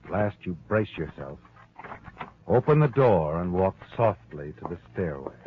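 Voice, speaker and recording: An actor playing a knowing, sardonic narrator, delivering dramatic second-person suspense narration in 1940s radio style. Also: male voice, deep voice, very animated, a little slow, a little hiss or hum. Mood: hushed, suspenseful. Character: ominous omniscient narrator addressing the heroine, sardonic. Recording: dull vintage radio recording. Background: dramatic music.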